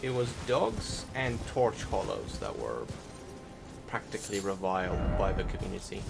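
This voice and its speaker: Guy rambling over gameplay, kinda low croaky voice, unsure of himself, music blasting behind him. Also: sing-song, moderate pace. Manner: hesitant, thinking aloud, self-correcting. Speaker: gaming YouTuber. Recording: loud game music behind the voice. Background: loud video game music.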